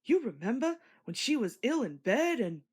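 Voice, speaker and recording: Cheery young boy engine for a storybook, played by a woman: high, lively, excitable, earnest. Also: moderate pace, breathy edge. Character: cheerful, eager young male steam engine.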